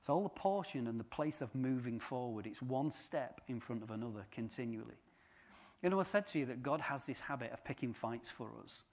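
A man's voice: medium pitch, animated, brisk, a little mellow. Joking man preaching. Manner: chatty, joking asides to the congregation. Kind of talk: informal sermon.

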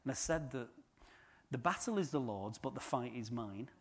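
A man's voice: mid-range voice, lively intonation, moderate pace, slightly rough. A man giving an informal sermon, chatty and joking with the congregation. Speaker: preacher.

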